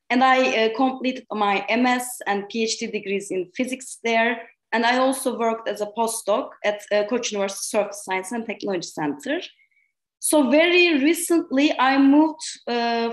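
A woman's voice: fairly high pitch, reverberant. A woman in her thirties from Turkey with a Turkish accent, a lecturer giving an informal scientific presentation online. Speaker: university lecturer and invited speaker. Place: indoor room, remote connection to a virtual event.

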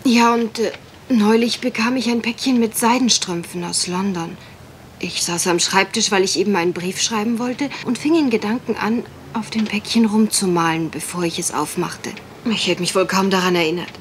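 A woman's voice: mid-range voice, moderate pace, lightly acted. Casting: genteel young heiress , played by a woman, polite and uneasy, mid-range voice, radio mystery with background noise. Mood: earnest, explaining. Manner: polite, hesitant, well-spoken. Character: genteel, worried young English country heiress. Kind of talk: German radio mystery play acting.

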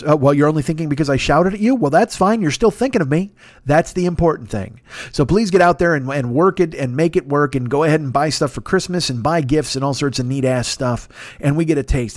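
A man's voice: on the high side, no room sound, clean studio sound. A man, comedian and podcast host, rambling through jokey plugs with mock demands and asides. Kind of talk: casual sponsor plug.

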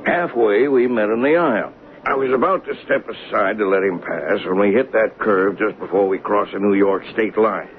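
An actor playing a plain-spoken working train conductor in a 1970s radio drama, in a conversational storytelling way. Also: male voice, on the low side, moderate pace, phone-quality sound, lightly acted. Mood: recounting, sober. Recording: noisy, band-limited vintage radio broadcast.

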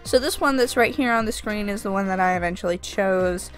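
A woman's voice: mid-range voice, a little fast, soft background music, low-quality recording. Chatty young woman with a stuffy nose. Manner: rambling, self-deprecating, chatty. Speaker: craft vlogger. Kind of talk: casual voice-over narration. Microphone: desk microphone, voice-over.

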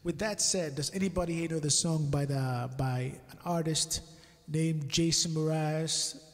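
A man's voice: a little high, a little slow, in a large hall. A young man from Nigeria playing and singing at home into a stand mic, with joking patter between songs. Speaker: musician performing. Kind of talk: live acoustic song set with banter. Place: living room at home. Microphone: stand mic in front of him. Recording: loud guitar over the voice. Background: his own acoustic guitar.

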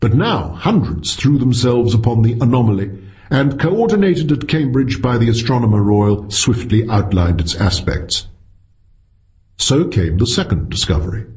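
A man's voice: mid-range voice, darkish tone. A man narrating a science fiction short story as a scripted audiobook.

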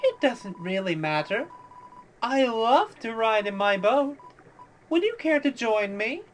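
A woman voicing a mysterious, playfully cryptic cloaked boatperson in a video game, with a slow singsong manner. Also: fairly high pitch, sing-song, a little hiss or hum. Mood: serene, playful. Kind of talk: game character voice-over.

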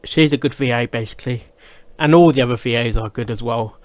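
A man giving casual reaction commentary as a video host, in a halting way that repeats phrases. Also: mid-range voice, no echo. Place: small cluttered room indoors. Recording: slightly dull, dry sound.

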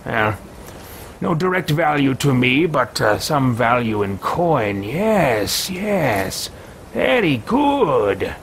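A man's voice: mid-range voice, vocal fry, a bit bright, slight background noise, heavily acted. A man playing a pompous, arrogant mage. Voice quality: pompous, grandiose. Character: arrogant, self-absorbed male mage adventurer. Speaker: let's play host roleplaying a character. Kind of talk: in-character roleplay over a video game. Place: room at home, indoors.

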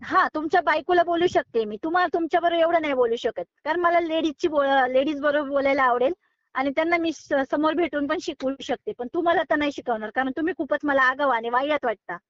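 A woman's voice: sits high, fast talker. A woman in an unscripted phone conversation, arguing firmly and sounding exasperated. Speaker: online recipe video creator receiving a prank call. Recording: dull, lo-fi phone call audio.

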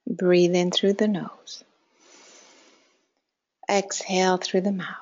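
A woman leading a guided meditation in a calm, measured way, with short instructions and soft phrase endings. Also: fairly low pitch, dull audio. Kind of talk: guided meditation, slow spoken instructions with long pauses.

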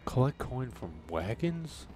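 A man's voice: medium pitch, big pitch swings, unhurried, darkish tone, faint music behind. A man doing casual let's play commentary, reading game prompts aloud and muttering to himself, mildly puzzled. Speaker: gamer, let's play host.

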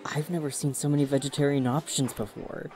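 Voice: mid-range voice, fairly even intonation, fairly quick, mellow, soft background music, natural voice. An actor playing a cheeky, friendly man in his early twenties in a casual audio drama, playful and teasing. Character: cheeky, friendly 22-year-old man, Bailey.

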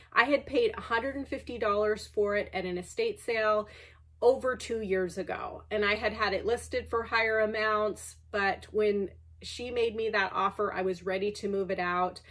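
A congested woman in her thirties chats away as she packs up orders, a little sniffly, rambling with fillers and self-deprecating asides. Her voice sits a touch high, picked up by a camera on the desk in a large cluttered room. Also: a bit bright. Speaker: online reseller. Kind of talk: chatty packing vlog. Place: large cluttered indoor workspace.

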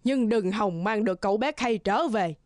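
A woman's voice: medium pitch, normal speed, darkish tone, dry recording, studio quality, close to an everyday voice. A woman voicing a haughty, menacing snow queen in a children's cartoon, taunting and imperious.

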